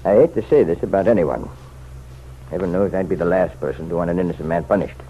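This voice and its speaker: A man's voice: low voice, fast, warm tone, breathy edge. An actor in an old-time radio drama playing an insistent, folksy townsman who offers pointed, chummy advice. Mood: earnest, pressing. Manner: folksy, chummy, leaning and persuasive. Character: insistent, insinuating townsman, nosy self-appointed helper to the sheriff. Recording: muffled, noisy vintage radio recording.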